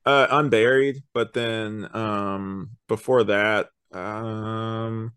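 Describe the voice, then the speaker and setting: A man with a fairly low voice talks as a podcast co-host in a casual review chat. He rambles through his explanations, often stopping and restarting a thought. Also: steady tone, extremely slow, fairly bright tone, clean tone.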